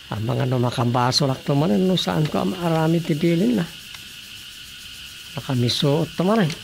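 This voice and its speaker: A man's voice: a little high, normal speed, creaky, a little hiss or hum. An actor with an Ilocano accent playing a preoccupied man muttering to himself in a radio drama.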